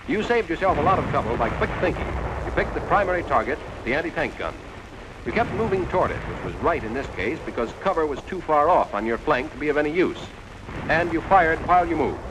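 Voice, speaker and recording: Animated 1940s American military training film narrator. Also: male voice, fairly high pitch. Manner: direct, instructive, addressing the trainee as "you". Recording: noisy vintage 1940s film soundtrack.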